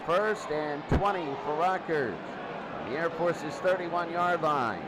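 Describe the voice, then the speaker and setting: Guy calling football plays, kinda high voice, super loud crowd noise. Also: slow. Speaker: play-by-play commentator. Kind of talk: live American football commentary. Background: loud crowd, stadium-like noise.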